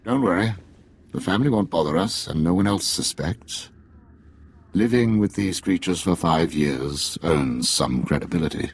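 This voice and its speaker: A man playing a jaded middle-aged undercover spy in a video game, with a gravelly, husky voice and a sardonic, deliberate manner. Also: sits low. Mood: confiding. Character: jaded male Imperial spy posing as alien tea house owner, middle-aged father. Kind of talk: cinematic video game dialogue.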